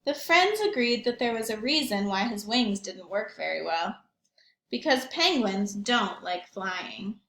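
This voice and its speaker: A woman teacher reading aloud and talking to young children in an expressive storytelling manner, with questions and asides. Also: medium pitch, a little slow, reverberant. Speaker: primary school teacher reading aloud to pupils.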